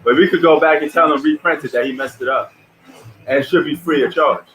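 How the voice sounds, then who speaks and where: A man's voice: fairly high pitch, fairly quick, fairly bright tone, a little hiss or hum, poor audio. Laid-back New man, slangy chat. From New York. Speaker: live stream host. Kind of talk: live stream reaction commentary. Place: at home.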